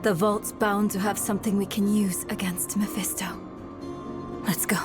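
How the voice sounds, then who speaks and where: A woman playing a weary, resolute young scholar in a dark fantasy video game, speaking in a measured, earnest and sometimes hushed way. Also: medium pitch, level tone, natural voice. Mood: wistful, resolute. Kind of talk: dramatic video game acting. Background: cinematic background music.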